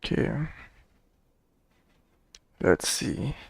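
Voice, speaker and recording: A man giving casual, offhand commentary as he plays a video game into a close microphone. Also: drawn-out words. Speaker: game player commenting on gameplay.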